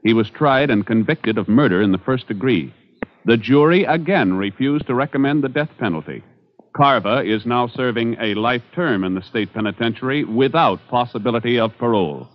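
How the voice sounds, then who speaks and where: A man announcing in formal 1950s radio style, measured and official, with declarative scripted delivery. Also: medium pitch. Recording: muffled 1950s radio broadcast.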